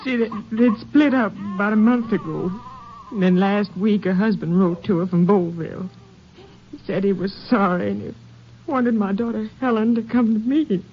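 A woman's voice: medium pitch, normal speed, natural voice. An actress playing an older, bitter, grieving mother in a 1950s radio drama. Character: bitter, grieving old mother of a murdered daughter. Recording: muffled, noisy vintage radio recording.

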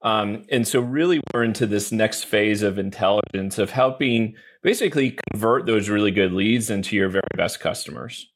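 A man's voice: lowish voice, moderate pace, few pauses, husky. A man speaking as an executive guest on a podcast, explaining things in a conversational way.

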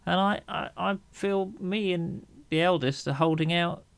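Middle-aged man, English accent, creaky voice, slightly high pitch, dry mock-grumbling humour, casual podcast chat. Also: measured pace, dry recording. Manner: dryly humorous, mock-grumbling. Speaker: podcast co-host.

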